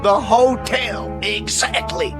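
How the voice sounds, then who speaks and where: An actor playing an excitable, fawning Old West townsman who gushes in a hickish American drawl for an audio drama. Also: high voice, slow, music in the background. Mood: excited. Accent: American Western drawl. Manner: exclaiming, gushing, hickish. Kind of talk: audio drama comic Western acting.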